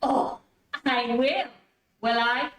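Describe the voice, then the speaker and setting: A woman's voice: a little high, fairly even intonation, very slow, a bit bright. A woman playing a haughty young captive princess in an old radio serial. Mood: pleading, indignant. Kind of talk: 1930s radio serial acting. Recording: echoey room, distant microphone.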